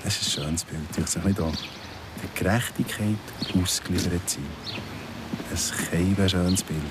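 An actor with a Swiss German accent playing a bitter ex-convict in his thirties in a radio play, halting, repetitive and sardonic. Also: low voice, fairly even intonation, very noisy, natural voice. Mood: menacing irony. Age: 30s. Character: brooding ex-convict in his thirties, embittered, obsessed with justice. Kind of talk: radio play dialogue and monologue.

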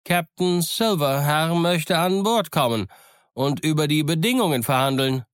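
A man acts out a deferential pirate crewman in an audiobook. The voice is raised well up in pitch and hails in drawn-out calls. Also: clean tone, dry recording, clean studio sound, lightly acted. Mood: hailing. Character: male pirate underling hailing deferentially from afar.

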